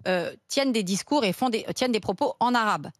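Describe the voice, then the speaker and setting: A woman's voice: a little high, a little fast, dry recording, studio quality. A woman speaking as a radio talk show panelist, emphatic and explanatory as she lays out a point. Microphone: studio microphone.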